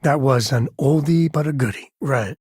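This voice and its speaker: A rugged spacefaring adventurer played by a man speaks in a very husky, rough-edged voice at a medium pitch. He is folksy and wry, talking like an easygoing video game companion. The recording is dry and close, with no room around it. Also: normal speed, darkish tone, dry recording, close to an everyday voice. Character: rugged, wry space explorer and devoted single father. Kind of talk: video game voice acting, conversational.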